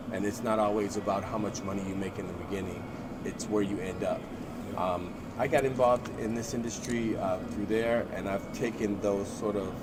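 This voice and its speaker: Low-voiced man in a noisy venue. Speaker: transportation executive, panelist. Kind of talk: personal career story on a panel. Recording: noisy venue, urban ambient noise. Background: ambient venue noise.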